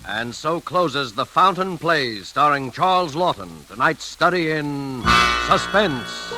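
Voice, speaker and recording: Casting: male vintage radio announcer, fairly high voice, formal delivery with loud music behind. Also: expressive, slow pace, rough recording. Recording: old radio broadcast under loud music.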